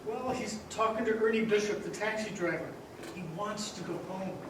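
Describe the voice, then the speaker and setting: A man playing a gentle, innocent, very old little angel in a stage radio play, earnest and patient. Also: high-pitched, normal speed, natural voice. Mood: dutiful, concerned. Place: live stage in a large echoing hall. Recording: echoey hall from far off, noisy.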